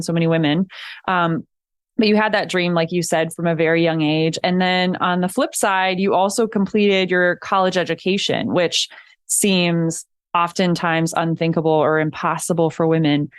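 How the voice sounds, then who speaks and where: A woman's voice: lowish voice, clean tone. A woman hosting a podcast interview, enthusiastic and asking long prepared questions. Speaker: podcast host.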